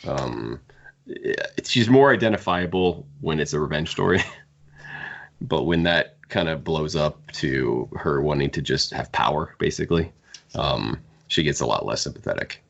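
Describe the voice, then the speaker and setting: A man co-hosting a podcast, talking through books casually in a halting, thinking-aloud way. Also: a bass voice, animated, creaky, fairly bright tone, highs cut off. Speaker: podcast co-host. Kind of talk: casual book discussion.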